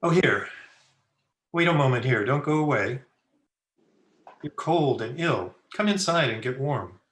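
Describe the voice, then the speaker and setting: A man playing a kindly, earnest young gentleman in an old-time radio drama. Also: mid-range voice, lively intonation, a little slow. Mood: concerned, insistent. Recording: roomy home video-call audio.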